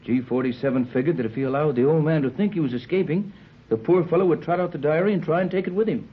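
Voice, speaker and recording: A man playing a hearty, reassuring sea captain in an old-time radio adventure serial, with a husky voice. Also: a little high, fast. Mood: puzzling it out. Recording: tinny, band-limited old radio recording.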